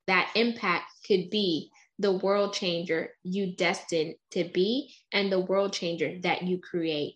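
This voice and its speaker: A woman's voice: fairly low pitch, steady tone, takes it slowly, few pauses. A woman hosting an interview, responding with enthusiastic, motivational phrasing. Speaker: interview host.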